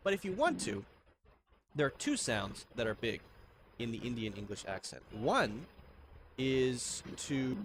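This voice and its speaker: A man from the United States giving a pronunciation lesson, demonstrating the sounds out loud. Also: mid-range voice, unhurried, pauses often, a little hiss or hum. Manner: demonstrates sounds, lively delivery. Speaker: accent coach.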